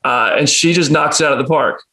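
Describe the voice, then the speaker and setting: A man's voice: on the high side, fairly quick, bright, forceful tone. A man interviewing casually as an entertainment host, enthusiastic and rambling in how he phrases his questions. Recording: roomy, echoey sound.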